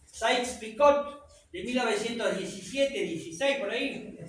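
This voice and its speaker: A man's voice: extremely high pitch, expressive, normal speed. A man whose first language is French, giving a conversational public talk to an audience and asking them rhetorical questions. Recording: echoey room from far off, faint noise.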